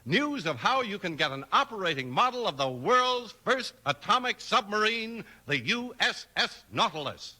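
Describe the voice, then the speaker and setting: A man's voice: sits high, sing-song, moderate pace, few pauses, sharp tone. An American man announcing and narrating in scripted 1950s radio style, emphatic and polished. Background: faint music.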